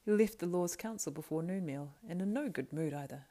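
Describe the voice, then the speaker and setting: Woman acting a plaintive, excitable young male hobbit. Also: on the low side, fairly quick, lightly acted. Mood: worried.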